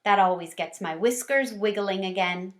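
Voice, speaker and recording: A woman reading a children's storybook aloud in an expressive, animated way. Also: medium pitch, moderate pace. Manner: animated, with varied character lines. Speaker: read-aloud teacher or storyteller.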